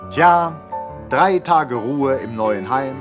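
A man's voice: mid-range voice, expressive, takes it slowly. A man narrating a scripted 1960s radio comedy in a wry storytelling manner. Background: instrumental music.

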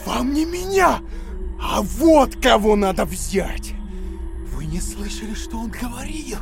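An actor in an audio drama playing a terrified, craven male prisoner who begs desperately in drawn-out pleas. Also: extremely high pitch, very animated, creaky, soft background music, exaggerated voice. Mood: frantic accusing. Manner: pleading, drawn-out, breaking into cries and shouts.

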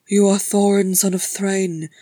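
An earnest male dwarf of a fantasy tale, acted by a woman reader. He speaks with emphatic, archaic formality and awestruck bewilderment. The sound is dry and close, the delivery unhurried. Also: mid-range voice, flat delivery, mellow, no room sound, close to an everyday voice. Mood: awestruck, respectful.

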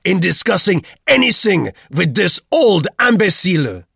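Man playing a loud, sneering, hot-tempered Frenchman. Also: a little high, expressive, takes it slowly, gravelly, husky, no echo, clean studio sound, voice pushed up, full character voice. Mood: furious. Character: hot-tempered, jeering Frenchman, rude and belligerent.

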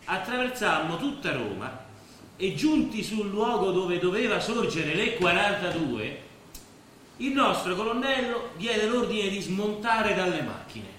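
A man's voice: sits high, big pitch swings, a little slow, a bit bright, exaggerated voice. An actor playing a plain-spoken, proud working-class man, telling stories in an Italian stage drama. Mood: grave recital. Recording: very echoey stage, faint background noise.

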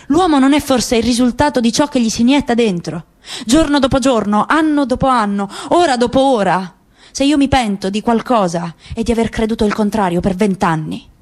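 A woman's voice: fairly high pitch, fairly quick, darkish tone, lightly acted. A woman voicing a weary, grieving middle-aged father in a literary radio reading, speaking in a grave, searching way. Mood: bitter, reproachful.

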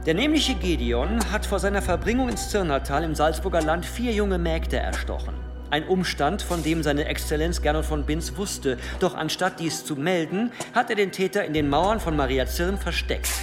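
An actor playing a stern, cunning cathedral provost in a historical radio play, questioning formally with insistent, sarcastic politeness. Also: male voice, fairly high pitch, lively intonation, moderate pace, close to an everyday voice. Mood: accusing. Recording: long hall reverb, loud music underneath.

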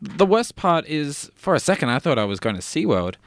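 A flustered, stammering man acting out a comedy sketch for a podcast. His pitch swings widely, and the recording is close and dry. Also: big pitch swings, fairly quick, creaky, no room sound.

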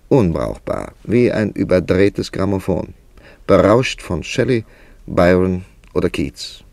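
Very deep, gravelly writer-narrator. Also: male voice, a little mellow, no room sound, exaggerated voice. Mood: calculating. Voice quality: creaky, gravelly. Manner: deliberate, literary, dramatic storytelling. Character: thoughtful, calculating male writer narrating his own story. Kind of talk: German radio play narration and dialogue.

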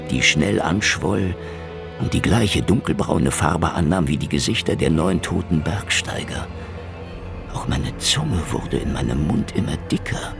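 A middle-aged actor playing a world-weary, sardonic Soviet investigator, narrating a hardboiled radio drama in a slow, deadpan, gravelly voice over music. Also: male voice, full character voice. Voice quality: very low, gravelly. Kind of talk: noir first-person radio drama narration. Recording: loud music bed.

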